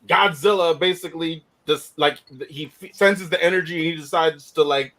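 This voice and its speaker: A man co-hosting a casual chat over a video call, animated and incredulous, often cutting himself off. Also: fairly high pitch, moderate pace. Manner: animated, incredulous, self-interrupting. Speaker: podcast co-host.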